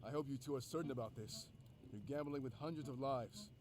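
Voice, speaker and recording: A man's voice: medium pitch, fast talker, background noise, close to an everyday voice. A man voicing a grim, reluctant official in a fantasy visual novel. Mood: grave.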